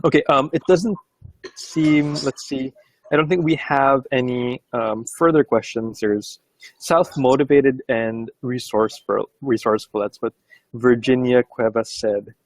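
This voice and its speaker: A man's voice: medium pitch, a little slow, dry recording, rough recording. A Filipino man whose first language is Bisaya, hosting a live interview in a casual, hesitant way. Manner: casual, hesitant, many fillers. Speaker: host.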